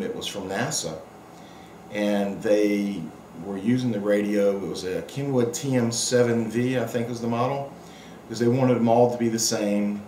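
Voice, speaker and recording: A man's voice: lowish voice, darkish tone. A middle-aged or older man giving a casual, rambling, unscripted product review, explaining things with many asides as an experienced hobbyist. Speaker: amateur radio operator reviewing a radio. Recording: roomy echo, faint background noise.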